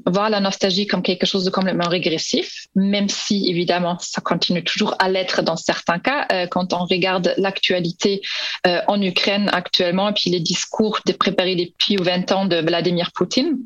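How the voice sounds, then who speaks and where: Non-native woman academic, searching for words, mid-pitched, on a dull, dry remote recording. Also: no echo. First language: not French. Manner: hesitant, many fillers and restarts. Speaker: researcher guest. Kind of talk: academic interview answers.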